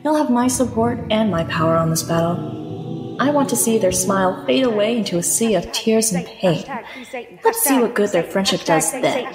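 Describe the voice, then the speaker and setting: Woman playing vengeful bitter devotee, dramatic, venomous, mid pitch, long reverb, comic dub. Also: expressive, normal speed, lightly acted. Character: bitter, bloodthirsty follower sick of good winning. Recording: echoey reverb, music behind.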